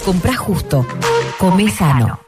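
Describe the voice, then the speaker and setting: A woman's voice: lowish voice, animated, measured pace. A woman with a Buenos Aires accent reading a scripted radio advert as an announcer. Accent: Argentine, Buenos Aires. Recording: produced spot over music bed. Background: music.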